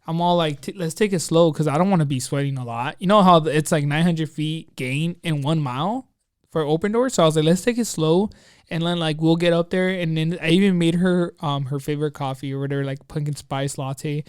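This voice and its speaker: Higher-voiced man telling a story, clean and dry. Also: fairly quick, smooth, no echo. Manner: excitable, rambling, peppered with slang and quoted asides. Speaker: podcast co-host telling a personal story. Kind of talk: casual chat, anecdote storytelling.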